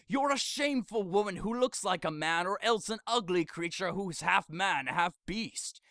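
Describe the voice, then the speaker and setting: A man's voice: high-pitched, lively intonation, normal speed, fairly bright tone, dry recording, studio quality. A man playing an exasperated, scolding priest in a modern-language Shakespeare audio drama, speaking emphatically.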